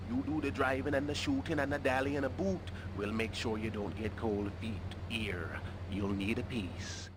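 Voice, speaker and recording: Man as cocky gang member, mid-pitched, slangy, game cutscene, noisy vehicle background. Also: steady tone, moderate pace, lightly acted. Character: cocky street gangster giving orders. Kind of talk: video game cutscene acting. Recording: clear vehicle and street noise.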